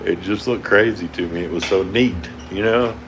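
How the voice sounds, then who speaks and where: A man's voice: sits low, moderate pace. A man with an Oklahoma drawl chatting casually with livestream viewers from a towboat, rambling and chuckling often. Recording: steady air conditioner hum behind.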